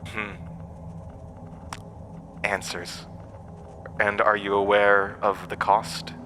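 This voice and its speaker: Horror podcast villain, male actor: undying hatted entity, very bright forceful edge, mocking and weary, theatrical, faint noise behind. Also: on the low side, level tone, very slow, full character voice. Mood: menacing, amused. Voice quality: broken-windpipe voice, borrowed from another man. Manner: mocking, theatrical, cryptic, chuckling asides. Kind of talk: horror audio drama acting.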